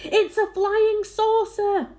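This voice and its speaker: A woman voicing frightened, excited onlookers shouting hysterically in a children's storybook. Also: extremely high pitch, slow, voice pushed up.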